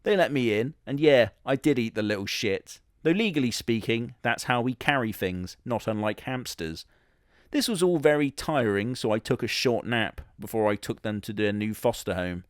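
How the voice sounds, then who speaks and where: A man's voice: mid-range voice, lively intonation, moderate pace, no echo, clean studio sound. A man telling a crude comic story in a sardonic, matter-of-fact way, swearing casually. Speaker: comedy narrator in character.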